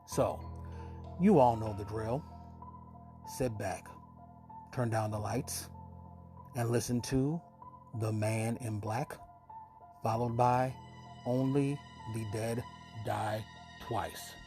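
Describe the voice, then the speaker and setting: A man's voice: mid-range voice, steady tone, slow pace, long pauses. A man in his fifties hosting a show, giving a casual scripted introduction. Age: 50s. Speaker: podcast host. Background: music.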